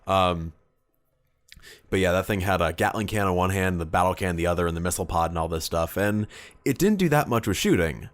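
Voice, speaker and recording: Low-voiced guy chatting, dry close sound. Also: expressive, a little fast, no echo. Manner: profane, wry and self-deprecating. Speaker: podcast host. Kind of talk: casual chat recounting tabletop wargame battles.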